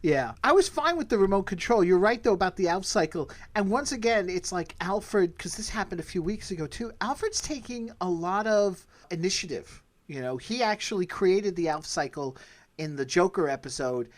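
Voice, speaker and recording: A man's voice: sits high, expressive, normal speed. A man in casual conversation, commenting as a podcast host.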